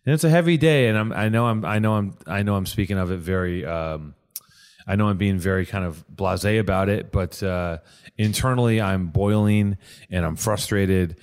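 A man's voice: low-pitched, moderate pace, smooth. A man hosting a casual podcast chat, rambling and restarting his sentences often, on a podcast microphone. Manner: rambling, with many restarts and repeated words. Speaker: podcast host.

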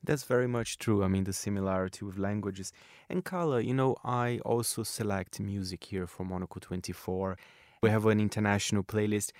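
Brazilian-accented male interviewer, low, dry voice. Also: brisk, a little mellow, dry recording. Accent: Brazilian Portuguese. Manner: chatty, many "I mean" fillers. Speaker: radio culture correspondent and interviewer. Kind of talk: friendly conversational interview.

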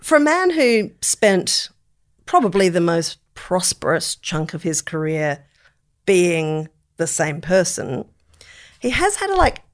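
A middle-aged woman chatting casually as a podcast co-host, with an expressive, descriptive turn of phrase. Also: on the low side, slow pace. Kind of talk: casual chat about films.

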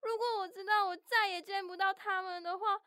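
A woman's voice: high voice, lively intonation, a little slow, a bit bright, no room sound, studio quality. A woman voicing a small, naive young mouse with a childlike, innocent and emotional way of talking in a children's audio story. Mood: homesick.

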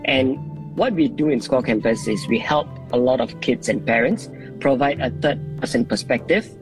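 A man's voice: mid-range voice, moderate pace, poor audio. A man, explaining his work as a guest in a radio interview. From Singapore. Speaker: coach, phone-in guest. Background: music bed.

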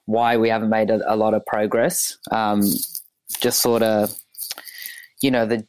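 A man's voice: fairly low pitch, level tone, a little mellow, clear, resonant tone, dry recording, poor audio. A man in his twenties, born in Ethiopia and raised in Australia, talking easily as a guest in a casual interview with plenty of fillers. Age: 20s. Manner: easygoing, halting, many fillers. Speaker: guest, comedy sketch maker.

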